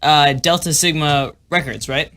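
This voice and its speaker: A young man hosting a podcast while sick, sounding a little nasal, excited and rambling with lots of fillers. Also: fairly high pitch, measured pace. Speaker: podcast host. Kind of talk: casual podcast talk.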